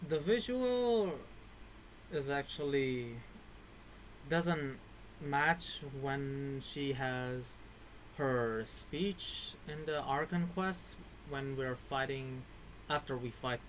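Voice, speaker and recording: A man giving casual game commentary in a halting way, searching for words. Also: medium pitch, a little hiss or hum, rough recording. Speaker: gamer reacting to game story.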